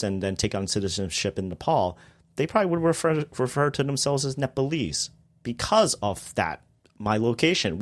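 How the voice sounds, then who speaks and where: A man, a guest on a podcast, explaining theology in a casual discussion with emphatic bursts on key points. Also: lowish voice.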